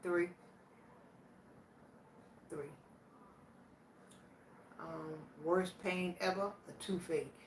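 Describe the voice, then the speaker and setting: Vlogger, woman in her 60s, slightly low voice, unhurried and plainspoken; distant mic, faint hiss. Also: fairly even intonation. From Virginia, USA. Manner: plainspoken, slow and reflective, answering questions aloud. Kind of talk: casual question challenge. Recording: echoey room, voice from a distance, faint background noise.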